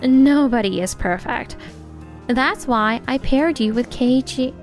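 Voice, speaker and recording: A poised young lady boss played by a woman with a very high voice. She is composed, earnest and supportive, frank but kind, in a manga romance dub. Soft music runs behind her. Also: takes it slowly. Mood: encouraging. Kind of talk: anime-style dubbed dialogue.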